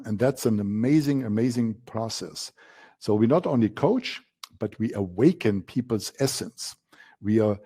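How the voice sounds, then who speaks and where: German-accented man with a mellow voice. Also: on the low side, husky. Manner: emphatic, repeats key words, frequent fillers. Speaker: coaching instructor. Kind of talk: masterclass presentation.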